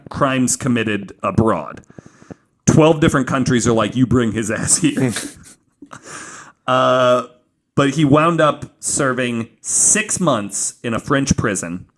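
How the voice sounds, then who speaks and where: A man's voice: medium pitch, a little hiss or hum. A man hosting a podcast, telling a story in an informal, joking way with some swearing. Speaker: podcast host telling a true crime story. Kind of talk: casual storytelling from notes.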